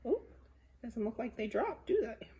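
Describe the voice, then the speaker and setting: A woman's voice: fairly high pitch, very animated, normal speed, mellow, a little hiss or hum. A woman hosting a children's storytime, explaining a science activity simply and putting questions to young children. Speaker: library storytime host for children.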